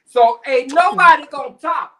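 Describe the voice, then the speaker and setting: A man's voice: very high-pitched, moderate pace, pushed and bright. A middle-aged man on a video call from his home kitchen, demonstrating a recipe in a playful way, full of slang and puns. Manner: jokey, drops slang and puns. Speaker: cooking show contestant. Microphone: webcam on video call.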